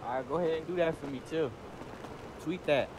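A cocky young American man with a slightly high voice, swaggering through slang-heavy, profane roleplay. The voice chat is clearly noisy. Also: a little fast. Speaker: online roleplay player. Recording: noisy online game voice chat.

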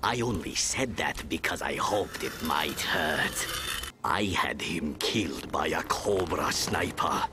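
A man playing a cold, vengeful villain in an action cartoon, menacing and deliberate. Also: a bass voice, animated, noisy background, heavily acted. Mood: cold hatred.

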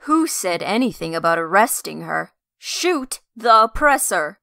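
A hard, commanding young female zealot acted by a woman in a comic dub. Her voice is bright and forceful, closely recorded, and she is fanatical and ruthless. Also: medium pitch, sing-song, unhurried, vocal fry, dry recording, studio quality, full character voice. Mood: impatient, ruthless. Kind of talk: amateur comic dub acting.

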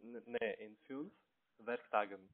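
A man with a foreign accent, a non-native speaker, giving a halting, hesitant telephone sales pitch. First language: not German. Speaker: telesales call centre agent. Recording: narrow, tinny phone line.

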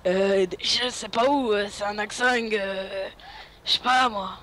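Guest co-player, medium pitch, playful rambling chatter, faint hiss on the line. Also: fairly even intonation, takes it slowly, pushed and bright. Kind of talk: casual co-op gameplay chat.

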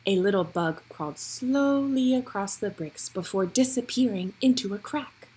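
A woman reading a picture book aloud for young children, with expressive, deliberate storytelling phrasing. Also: medium pitch, slightly rough, low-quality recording.

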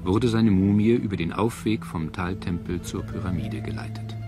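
A man's voice: low voice, normal speed. A man reading scripted documentary narration as the narrator. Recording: music under the voice. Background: background music.

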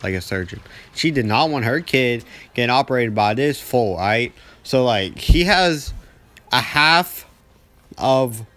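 A man's voice: mid-range voice, expressive, a little slow, fairly bright tone. A young man telling a story casually over gameplay, rambling with heavy slang and acting out dialogue as he goes. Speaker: gaming video storyteller.

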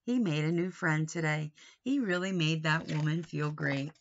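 A middle-aged woman reading a children's picture book aloud expressively, pausing for questioning asides to young listeners. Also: deep voice, highs cut off, a little hiss or hum. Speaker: storybook reader. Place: small wood-panelled room indoors.

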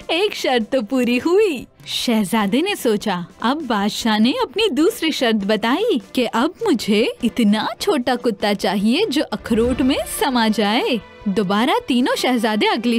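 A woman narrating a scripted fairy tale for children in an expressive, dramatized way. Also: fairly high pitch. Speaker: storyteller.

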